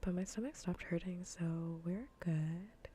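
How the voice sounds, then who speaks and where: A woman livestreamer chatting casually in ASMR style while gaming, soft, breathy and often whispering, with a playfully scolding manner. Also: on the low side, a little slow, a little hiss or hum.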